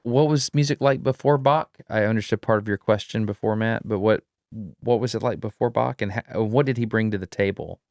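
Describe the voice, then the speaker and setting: A man with a low, smooth and resonant voice co-hosts a podcast, tossing out curious, playful follow-up questions. His voice is dry and close on the mic. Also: fairly quick, few pauses, a little mellow, no room sound. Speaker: podcast co-host. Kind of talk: casual podcast chat.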